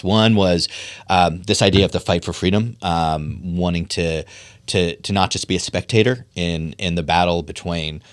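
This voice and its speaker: A middle-aged man from Pennsylvania speaks as a podcast guest, his voice sitting very low. He talks earnestly and thoughtfully, pausing on ums and restarting phrases. The sound is dry and close, with no room around it. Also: dry recording. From Pennsylvania, USA. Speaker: podcast guest, political strategist. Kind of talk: conversational podcast interview.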